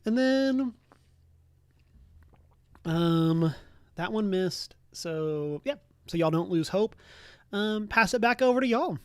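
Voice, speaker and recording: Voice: medium pitch, lively intonation, slow, long pauses, no room sound. A game master running a casual tabletop game in a playful, wry way.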